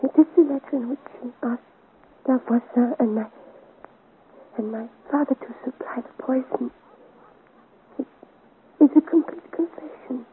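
An actress playing a frail, dying young woman in a 1950s radio serial, mournful and resigned, speaking weakly in halting phrases. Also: sits high, close to an everyday voice. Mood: urgent, failing. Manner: halting, weak, broken phrases. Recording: scratchy, tinny 1950s radio recording.

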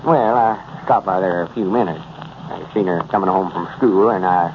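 A man's voice: deep voice, normal speed. An actor playing a self-important, easily indignant old country man with a rural Southern accent, folksy and pompous, in a 1930s radio comedy. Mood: self-satisfied. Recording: muffled, noisy 1930s radio recording.

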